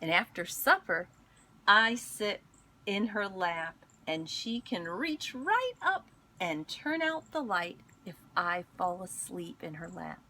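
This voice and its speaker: A woman reading a picture book aloud in an expressive storytelling manner, pausing to speak to young listeners. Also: mid-range voice. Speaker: school instructional coach reading aloud.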